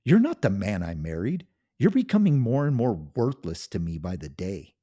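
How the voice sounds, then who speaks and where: A cutting, condescending wife sneers and taunts in a lively, swooping voice, as a male narrator puts her on. The tone is soft and husky underneath the scorn. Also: fairly low pitch, moderate pace. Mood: shouting scorn. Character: scornful cold-hearted wife, adult woman.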